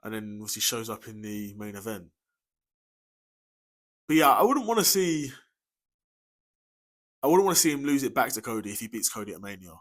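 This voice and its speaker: A man's voice: on the low side, very animated, normal speed, long pauses. A young British man co-hosting a podcast in casual chat, informal and slangy, often repeating himself as he agrees. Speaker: podcast co-host. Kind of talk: casual chat about wrestling.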